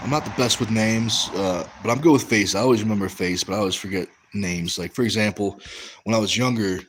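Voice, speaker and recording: A young American man talks casually on a livestream, answering chat in a fairly low voice. He rambles, restarts his sentences and leans on "um" and "you know" as he tells stories. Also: brisk, a bit bright, slight background noise, poor audio. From West Coast of the US. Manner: rambling, many fillers and restarts. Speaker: livestream host reading chat.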